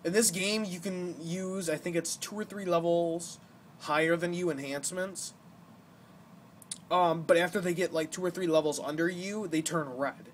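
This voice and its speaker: A young man giving casual gameplay commentary, explaining things in a halting, rambling way as the host of a gaming video. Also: a little high. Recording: noisy amateur home recording.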